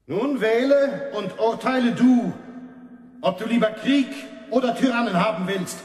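Stern authoritarian preacher, high voice, slow emphatic delivery, didactic, declamatory radio drama. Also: male voice, a little mellow. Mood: stern, insistent. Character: stern, authoritarian male reformation preacher defending rulers. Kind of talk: German radio play, declamatory sermon. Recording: echoey hall with long reverb.

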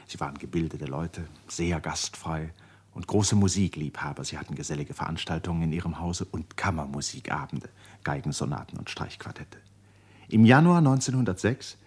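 An actor playing the solemn narrator of a literary radio play, husky-voiced, with measured, dramatic delivery. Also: male voice, low voice. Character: solemn male narrator of a Russian family saga.

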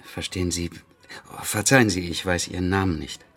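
A man's voice: deep voice, fairly even intonation, fairly quick, fairly bright tone. An actor playing a withdrawn, lonely man in a radio drama, speaking in a subdued, hesitant way. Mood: polite, hesitant. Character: Tolja, withdrawn, lonely man in a crime story. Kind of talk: German radio drama acting.

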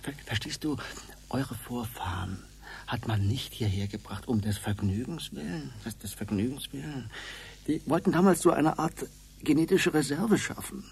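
An actor playing an evasive, reluctant adventurer in a German radio drama, halting and repeating his words, with a creaky voice. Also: mid-range voice, animated, slight background noise. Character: evasive, hesitant male reluctant adventurer, averse to violence.

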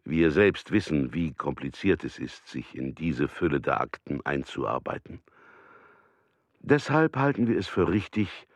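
Very deep-voiced formal merchant. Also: male voice, dry recording. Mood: formal, polite. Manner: formal, measured, matter-of-fact. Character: formal exiled German merchant writing a restitution letter. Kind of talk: documentary radio drama, letter reading.

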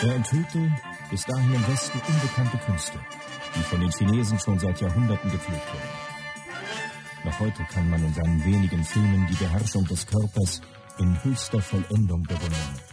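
A man's voice: deep voice, close to an everyday voice. A male actor playing a solemn, declamatory narrator in a scripted radio documentary. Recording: loud music behind the voice.

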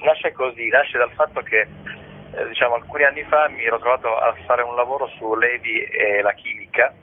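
A man interviewed on radio by phone as a theatre guest, explaining haltingly and repeating words as he goes. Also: medium pitch, steady tone. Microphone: telephone. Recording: muffled phone call on radio.

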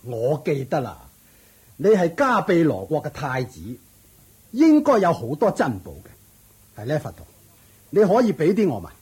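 A man's voice: a little high, wildly swooping pitch, measured pace, pauses often, a little mellow, husky, exaggerated voice. An actor playing a greedy, scheming, impatient father and lord in a Cantonese radio drama, exclamatory and wheedling, with a Hong Kong Cantonese accent. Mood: eager, greedy.

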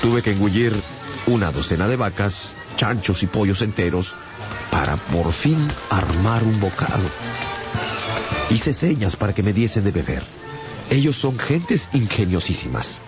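A shipwrecked adventurer recounting his travels for a radio drama. His very low voice is theatrical and wry, a bemused man telling a tall tale. The sound is a little dull, with music underneath. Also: sing-song, unhurried. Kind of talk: dramatized first-person radio narration.

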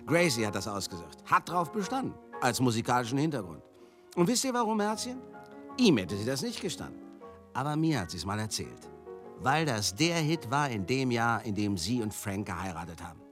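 An actor playing a chatty, jovial, sentimental showbiz manager in a radio drama monologue, effusive and confiding. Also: male voice, medium pitch, sing-song, moderate pace, soft background music, natural voice. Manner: effusive, confiding, addresses listeners as darlings.

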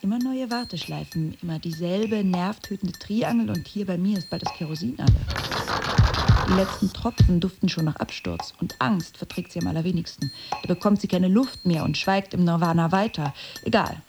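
An actress playing a restless, frustrated writer in an introspective radio drama monologue, speaking deliberately and poetically. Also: female voice, lowish voice, over music, lightly acted. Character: restless, frustrated writer longing for her silent inner voice.

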